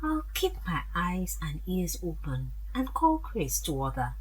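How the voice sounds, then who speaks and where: A woman playing a frank, protective older mother in a radio drama, speaking deliberately and expressively. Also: lowish voice, sing-song, husky, exaggerated voice, low-quality recording. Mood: frank, concerned.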